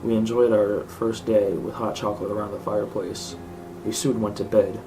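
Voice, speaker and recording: A man's voice: fairly low pitch, flat delivery, moderate pace, music in the background. A man reading a scripted horror story aloud as narrator. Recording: clearly noisy home recording.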